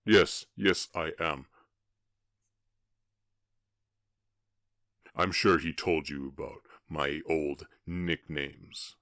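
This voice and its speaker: Half-orc cowboy, male actor: rock-bottom pitch, close dry sound, tender and ashamed, faltering into murmurs, ASMR drama. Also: a bit bright, dry recording. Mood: agitated, ashamed. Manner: halting, emotional, often dropping to breathy murmurs. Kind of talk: ASMR roleplay voice acting.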